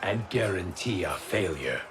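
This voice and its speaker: A man playing a wry, pragmatic fighter in a video game, speaking briskly over loud music. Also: low voice, lively intonation. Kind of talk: dramatic video game acting.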